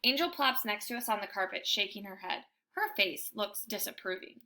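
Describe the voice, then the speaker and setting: A woman reading a children's book aloud in an animated, emphatic storytelling style. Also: mid-range voice, normal speed. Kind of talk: expressive children's book narration.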